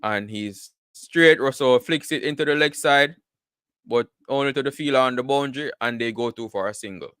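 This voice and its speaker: A man's voice: medium pitch, normal speed, a bit bright, clean tone, no room sound, studio quality. A man giving casual live cricket commentary, full of repeated words and "you know" fillers.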